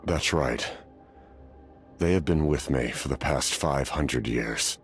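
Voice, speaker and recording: An actor playing a stoic, weary, centuries-old warrior captain in a video game, grave and deliberate. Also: male voice, rumbling low, faint music behind. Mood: grave resolve. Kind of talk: scripted video game voice acting.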